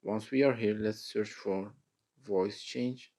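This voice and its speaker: A man with a low voice and a heavy, creaky fry hosts a how-to tutorial. He walks through each step in a deliberate, halting manner, addressing the viewer directly. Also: steady tone, darkish tone. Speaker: tutorial host. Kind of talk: step-by-step screen tutorial narration.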